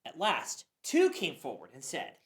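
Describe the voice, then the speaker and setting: Woman, scripture reader, low voice, animated reading, roomy echo. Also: unhurried. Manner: expressive reading with occasional stumbles. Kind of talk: dramatic Bible reading.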